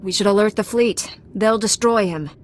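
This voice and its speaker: An actress playing a calm, earnest, determined heroine knight in a video game. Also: female voice, mid-range voice, normal speed, close to an everyday voice. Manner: composed, resolute. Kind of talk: video game cutscene acting.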